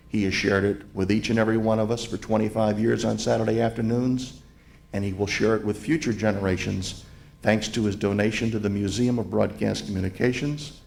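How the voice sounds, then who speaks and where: A man delivering a ceremonial tribute to a live audience, speaking in deliberate, emphatic phrases with repetition. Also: lowish voice, vocal fry, darkish tone. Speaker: event speaker, museum president giving opening remarks. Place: hotel grand ballroom event.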